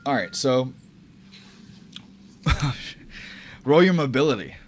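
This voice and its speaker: A man's voice: mid-range voice, big pitch swings, takes it slowly. A man running a tabletop roleplaying game as game master, talking casually and swearing freely.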